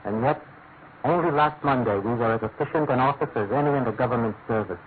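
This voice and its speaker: An actor playing a troubled, deferential British senior clerk, formal and measured, in a classic radio drama. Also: male voice, medium pitch, lightly acted. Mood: dismayed, mournful. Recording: noisy, narrow-band old radio recording.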